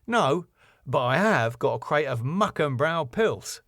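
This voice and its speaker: A man's voice: on the high side, animated, a little slow, fairly bright tone, no echo, clean studio sound, voice pushed up, exaggerated voice. A man voicing a laid-back, cheeky, streetwise British bloke who talks in a blunt, matey, slangy way. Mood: breezy, cheeky.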